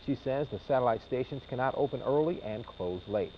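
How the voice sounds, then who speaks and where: American guy anchoring tv news, reads quick, kinda muffled w some noise behind. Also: mid-range voice. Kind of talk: scripted newscast reading. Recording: muffled broadcast with clear background noise.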